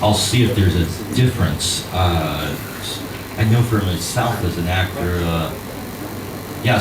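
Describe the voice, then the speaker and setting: A man, an actor by trade, stands up to read fiction to a live audience in a cabaret bar. He talks slowly and a little hesitantly, then settles into a dry, wry reading. The sound is roomy and distant, with plenty of bar ambience. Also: low voice, heavy background noise. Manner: hesitant, self-deprecating chat before a measured, wry reading.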